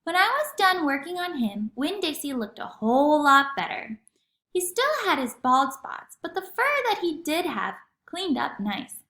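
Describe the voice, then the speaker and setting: Female children's read-aloud narrator, high voice, expressive storybook swing, roomy recording. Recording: echoey room.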